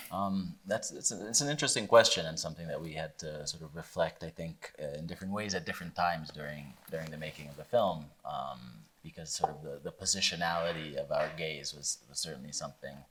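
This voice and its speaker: A man with an American accent, a filmmaker answering a question at a panel, measured and reflective with frequent fillers. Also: extremely low pitch, fairly bright tone, a little hiss or hum. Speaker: filmmaker guest.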